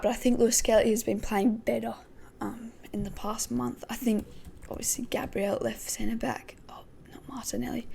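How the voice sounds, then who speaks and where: A woman hosting a casual football podcast, her voice croaky, thinking aloud with many hesitations. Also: on the high side, a little mellow. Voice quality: gravelly. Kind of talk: casual pre-match football talk.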